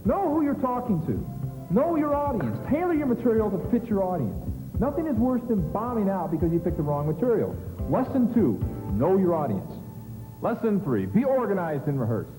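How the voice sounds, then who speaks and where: Brash comic instructor, played by a man, bossy and teasing, animated highish voice, scripted lesson in an echoey hall. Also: moderate pace. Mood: energetic. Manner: punchy, bossy, teasing showman. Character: brash, teasing stand-up comedian teaching public speaking. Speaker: comedian presenting a training film. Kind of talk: scripted comedic lesson delivered to an audience. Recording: echoey hall, music behind.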